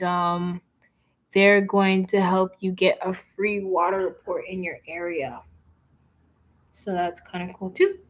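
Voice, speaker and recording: A woman chats casually on a livestream and reads out viewer comments as she goes. She rambles, restarts her sentences and fills the gaps with "um" and "like." Her delivery is slow and unhurried, at a mid pitch. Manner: chatty, rambling, many fillers and restarts. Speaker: livestream host.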